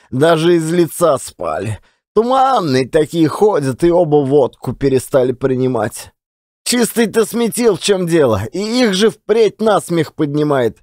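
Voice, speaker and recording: A man playing a high-voiced folksy Russian yarn-spinner. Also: darkish tone, no echo, clean studio sound, lightly acted. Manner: colloquial, folksy, theatrical with drawn-out words. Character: garrulous folksy provincial Russian man spinning rueful yarns. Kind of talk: dramatized audiobook storytelling, monologue.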